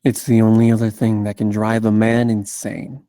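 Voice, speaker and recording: Noir narrator, acted by a man, brooding, guilt-ridden, grave, slow, dry close sound. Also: on the low side, monotone, mellow, no room sound, natural voice.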